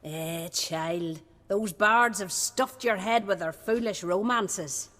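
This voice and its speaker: A woman's voice: medium pitch, takes it slowly, fairly bright tone, long reverb tail. A woman playing a fretful, kind middle-aged village mother in a video game, speaking in a motherly, folksy way. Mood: gently chiding, curious.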